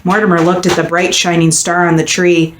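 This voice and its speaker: A woman reading a children's picture book aloud with expressive, dramatic and deliberate storytelling. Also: on the low side. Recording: echoey room, faint background noise.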